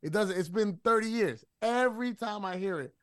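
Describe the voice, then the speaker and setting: A man with a husky voice, talking loosely with lots of restarts and fillers as an interview guest. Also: high-pitched, normal speed, a bit bright, no room sound, clean studio sound. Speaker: rapper guest. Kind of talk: casual interview talk.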